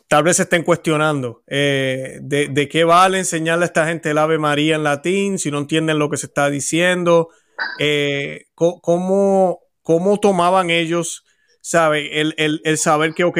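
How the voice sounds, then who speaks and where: Interviewer man, slightly high voice. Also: fairly bright tone. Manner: encouraging, restarts his questions. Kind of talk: interview questions.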